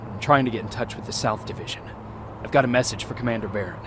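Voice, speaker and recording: An actor playing a reserved, serious resistance private in a video game, speaking tersely. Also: male voice, lowish voice, fast, noisy background, close to an everyday voice. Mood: grave, uncertain. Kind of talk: video game cutscene acting.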